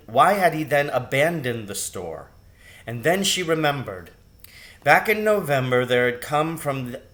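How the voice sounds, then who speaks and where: A man's voice: mid-range voice, fairly bright tone. A man narrating a children's novel, reading aloud as a storybook narrator.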